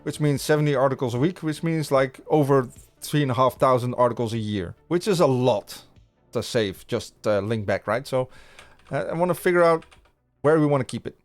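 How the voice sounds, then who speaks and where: A man's voice: medium pitch, a little fast, faint music behind. Dutch man chatting on a coding stream. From Netherlands. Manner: rambling, many restarts, chatting with viewers. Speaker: livestream coding host. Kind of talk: casual live-coding stream chat. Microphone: studio podcast mic.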